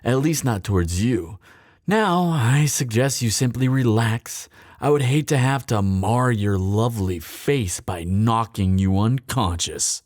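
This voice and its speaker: Slow, sardonic, bitter thief acted by a man. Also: mid-range voice, expressive, a little mellow, dry recording, studio quality, lightly acted. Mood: mocking, controlled. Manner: sardonic, theatrical, deliberate pauses. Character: bitter, mocking young male thief hardened by grief. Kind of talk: dramatic fantasy audio roleplay, addressed to listener.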